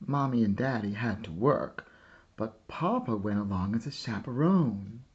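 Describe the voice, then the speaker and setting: A man narrating a children's picture book with measured, deliberate storytelling. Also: on the low side, animated, muffled recording. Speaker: storybook reader.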